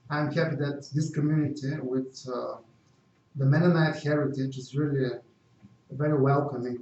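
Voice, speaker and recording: A man's voice: medium pitch, a little mellow, distant mic, a little hiss or hum. A middle-aged man from Bulgaria with a Bulgarian accent, a non-native English speaker, giving an earnest talk as guest speaker and answering questions. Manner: earnest, repeats phrases with small slips of grammar. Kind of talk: prepared community talk and Q&A. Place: meeting room. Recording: dull, echoey live stream from afar.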